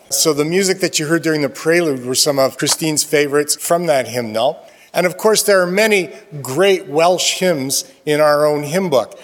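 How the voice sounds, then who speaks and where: A man with a fairly high voice addresses a congregation in a chatty, good-humored way. His words ring out through the long reverb of a church sanctuary. He sounds relaxed and friendly, like he is talking with neighbors. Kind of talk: casual announcements during a church service.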